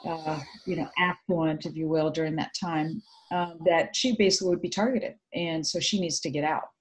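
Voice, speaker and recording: A middle-aged woman from Vietnam, Vietnamese her first language, telling her story thoughtfully in a video call interview with many pauses. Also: low-pitched, fairly even intonation, a little hiss or hum, poor audio. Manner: reflective, hesitant with many fillers. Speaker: guest. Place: home room indoors. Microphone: video call webcam.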